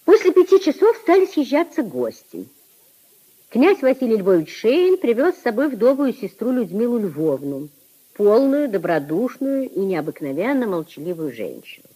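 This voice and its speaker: A woman narrating a literary radio play in a 1950s style, with a husky voice. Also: a little high, big pitch swings, moderate pace, dark tone, poor audio.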